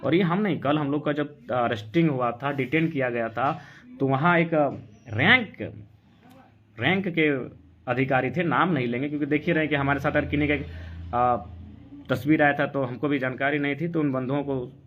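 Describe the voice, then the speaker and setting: A man's voice: medium pitch, normal speed. A man from Jharkhand with a Jharkhandi Hindi accent, speaking as an activist in an insistent, argumentative live political talk. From Jharkhand, India. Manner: insistent, argumentative, full of rhetorical questions. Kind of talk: impassioned political talk on a live stream, answering comments. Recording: dull, lo-fi sound.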